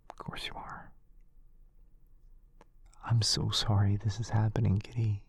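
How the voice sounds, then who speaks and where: A man playing a gentle, protective and frightened wizard in a boyfriend ASMR roleplay, speaking softly and haltingly, often in a whisper. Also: lowish voice, level tone, heavily acted. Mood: tender, worried. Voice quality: soft, breathy, often whispering. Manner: tender, halting, apologetic.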